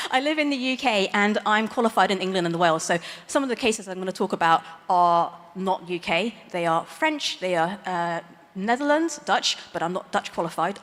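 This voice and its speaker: A woman's voice: medium pitch, a bit bright. A woman lawyer giving a conference talk, explaining legal ideas plainly with light, self-aware humor. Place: large conference hall stage. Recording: long reverb of a big hall.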